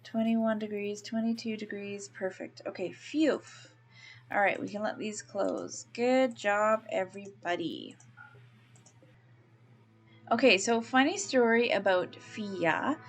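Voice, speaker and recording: A woman's voice: medium pitch, takes it slowly, a little hiss or hum. A middle-aged woman from Canada, a native English speaker, casually thinking aloud as she plays a game and narrates it. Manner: chatty, thinks aloud, coos at baby animals. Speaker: let's play host. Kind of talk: casual gameplay commentary.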